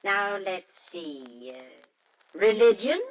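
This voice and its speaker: Casting: courteous, businesslike agency official. Measured, slow delivery, vintage 1940s radio manner. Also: female voice, medium pitch, expressive. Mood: brisk, official. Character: businesslike, courteous adoption agency caseworker, Miss Oliver. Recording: noisy old radio drama recording.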